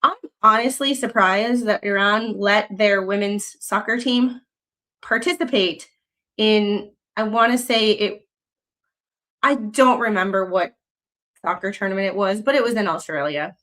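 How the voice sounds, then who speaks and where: A woman's voice: medium pitch, measured pace, fairly bright tone. A young adult woman from the United States, hosting a casual livestream, talking in a rambling way with many fillers and restarts, and reading news excerpts aloud. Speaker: livestream host.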